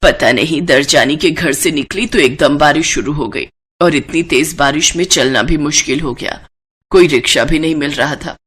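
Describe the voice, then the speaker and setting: A woman's voice: low-pitched, normal speed, fairly bright tone, slightly rough, pitched below the performer's own voice. A woman voicing an elderly Indian mother-in-law in a cartoon story, stubborn but easygoing, with a homely, coaxing manner. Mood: weary. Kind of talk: Hindi cartoon moral story acting.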